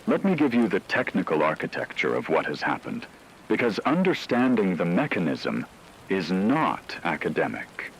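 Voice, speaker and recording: A man's voice: sits low, wildly swooping pitch, moderate pace, a little hiss or hum, exaggerated voice, rough recording. A man playing a grave technical correspondent on an emergency radio broadcast, precise and formal in a scripted news report. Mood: grave, measured.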